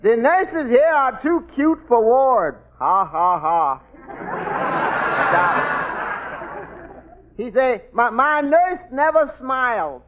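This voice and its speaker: An actor playing a dry-humored tavern waiter in a 1940s radio sitcom, with folksy ungrammatical speech and stammered repeats. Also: male voice, very high voice, big pitch swings, slow pace, long pauses, some chatter behind, exaggerated voice. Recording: tinny old radio broadcast with hiss.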